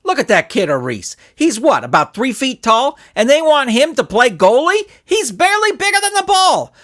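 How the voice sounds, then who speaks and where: A man voicing an irate, bitter soccer coach in a story, ranting with loud, sarcastic exasperation. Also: very high-pitched, big pitch swings, moderate pace, voice pushed up, exaggerated voice. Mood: exasperated ranting. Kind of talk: dramatised audiobook reading.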